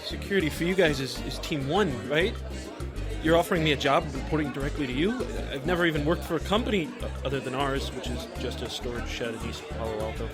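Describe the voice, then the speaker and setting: A man's voice: fairly high pitch, fast, music in the background, natural voice. An actor playing an anxious, earnest young male programmer in an audio drama, hesitant and pleading.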